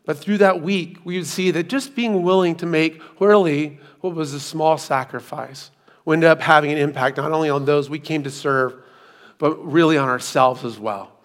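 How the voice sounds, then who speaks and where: Hoarse middle-aged man preaching. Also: a little high, fairly even intonation, normal speed. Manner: self-deprecating storytelling with asides. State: hoarse, recovering from lost voice. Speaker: pastor. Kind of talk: sermon. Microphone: hands-free microphone.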